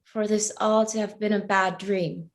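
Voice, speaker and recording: A woman's voice: mid-range voice, flat delivery, measured pace, natural voice. A young woman acting an anxious, defensive student who rambles and apologises in a naturalistic stage play reading. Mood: subdued. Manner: rambling, apologetic, stammering under pressure.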